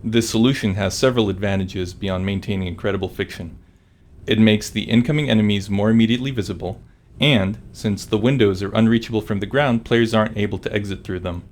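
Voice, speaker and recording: A man's voice: fairly low pitch, a little fast. A man giving scripted commentary as a game developer.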